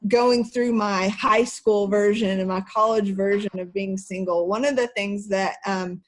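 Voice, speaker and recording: A woman's voice: mid-range voice, steady tone, unhurried, rough recording. A woman, teaching an online church class through earnest personal stories. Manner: earnest, personal storytelling with soft laughs.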